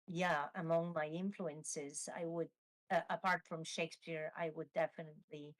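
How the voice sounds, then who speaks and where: A woman's voice: low voice, poor audio. A woman author with an Italian accent, a native Italian speaker talking in English, hesitant with many fillers and restarts, speaking over a video call. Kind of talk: live online Q&A.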